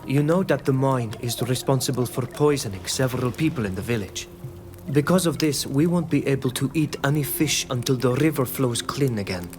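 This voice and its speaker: An earnest young islander voiced by a male actor talks in a plain, resolute way with a mid-pitched voice. He sounds like a curious villager in a fantasy game, with music clearly playing underneath. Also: a little fast, close to an everyday voice. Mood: grave. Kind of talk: video game dialogue acting.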